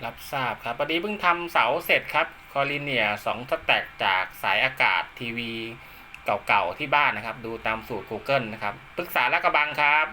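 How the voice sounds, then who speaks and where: A man's voice: mid-range voice, animated, normal speed, pushed and bright, a little hiss or hum. A man giving a casual hands-on demonstration as a radio hobbyist, recorded indoors at home. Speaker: amateur radio hobbyist presenting his own video.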